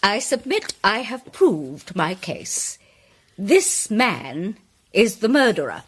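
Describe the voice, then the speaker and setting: An actress playing an imperious, righteous British woman detective, declaiming deliberately in a theatrical radio drama style. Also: medium pitch, very animated, slightly rough, full character voice. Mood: triumphant, forceful. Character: imperious middle-aged woman, righteous amateur detective accuser.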